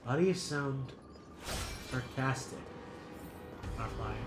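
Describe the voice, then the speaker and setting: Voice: mid-range voice, takes it slowly. A streamer giving casual, offhand and sweary commentary while playing a game. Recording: echoey room, loud game music.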